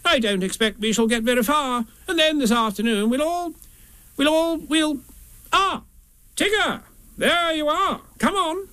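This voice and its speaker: A man voicing a bossy, fussy storybook rabbit with a brisk, officious, hurried manner. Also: very high voice, pushed and bright, no room sound, voice pushed up, full character voice. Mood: flustered, hurried.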